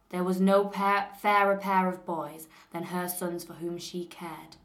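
Young Lancashire woman, echoey room. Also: on the low side. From northern England. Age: young adult. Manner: clear, measured reading; relaxed and chatty when talking freely. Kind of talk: reading a passage, then casual talk. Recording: roomy echo.

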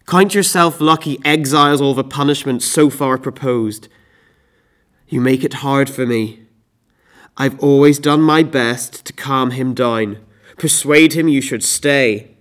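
A man playing an exasperated, scornful husband in a classical stage tragedy, scolding forcefully in a theatrical monologue. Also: mid-range voice, voice pushed up. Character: exasperated, scornful husband Jason berating Medea.

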